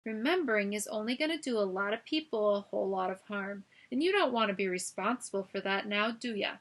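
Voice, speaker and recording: A woman's voice: mid-range voice, a little fast, lightly acted. A woman voicing a gangly, menacing male security guard who barks threats. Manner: barking, threatening.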